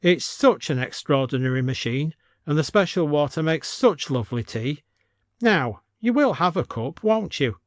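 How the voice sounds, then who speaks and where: A man's voice: on the high side, expressive, normal speed, no room sound, clean studio sound, natural voice. A man voicing a genteel, cosy elderly village woman in an audio story, sweetly chiding and proud. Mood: sweetly insistent. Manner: genteel, cosy, indignant when crossed.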